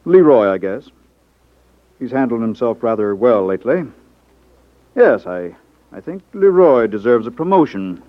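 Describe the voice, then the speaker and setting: An actor playing a smug, condescending racketeer boss in a suave, dry manner in a 1950s radio drama. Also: male voice, medium pitch, very animated, unhurried, long pauses. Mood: cool command. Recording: tinny band-limited 1950s radio recording.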